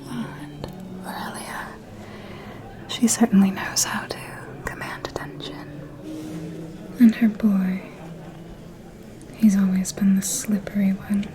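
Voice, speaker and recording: A refined, protective vampire mentor, acted by a woman, murmurs confidences in an ASMR roleplay. Her soft whisper is mellow and unhurried. The pitch stays even, giving her a discreet, knowing manner. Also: close to an everyday voice. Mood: confiding, wary. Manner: confiding, gossiping, measured.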